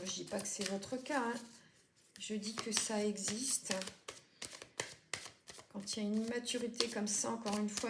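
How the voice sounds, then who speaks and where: A woman giving a casual tarot reading, chatting and rambling with many fillers and self-corrections. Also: on the high side. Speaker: tarot reader. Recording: echoey room with clear background noise.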